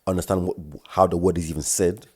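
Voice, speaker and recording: A young man with a very deep, dark voice and a South London accent, talking in quick bursts. His speech is loose and slangy, with repeated words and stammers as he co-hosts a laid-back chat. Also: little pitch movement. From South London, England. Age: young adult. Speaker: podcast co-host. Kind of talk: casual chat.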